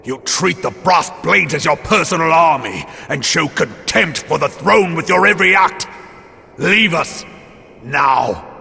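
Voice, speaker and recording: Gravelly, fierce loyal general. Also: male voice, a little high, expressive, a little slow, big-room sound, faint music behind, exaggerated voice. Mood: angry, commanding. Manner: forceful, commanding. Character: fierce, indignant military general loyal to his queen. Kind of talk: dramatic fantasy game voice acting.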